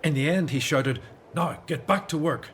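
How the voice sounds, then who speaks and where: An actor playing an earnest, resolute Hebrew leader in a religious radio drama. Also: male voice, on the high side, normal speed, a bit bright, a little hiss or hum, close to an everyday voice. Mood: grave, troubled.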